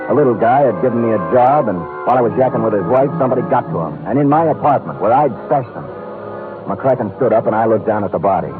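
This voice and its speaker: A wry, hard-boiled gumshoe from a 1950 radio drama, played by a man. He is dry and terse at a medium pitch. The old broadcast is thin and phone-like, with faint music. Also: lightly acted. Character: wry, hard-boiled male private detective. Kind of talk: 1950s radio noir acting and first-person narration.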